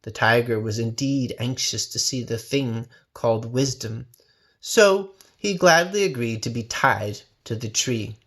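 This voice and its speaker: A man reading a children's story aloud as an expressive narrator. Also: medium pitch, a little slow. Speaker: story reader.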